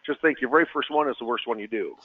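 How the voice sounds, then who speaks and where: A man who works as a financial advisor, speaking as a webinar guest, answering questions with a halting delivery full of repeated words. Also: medium pitch, slightly rough. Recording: tinny phone line.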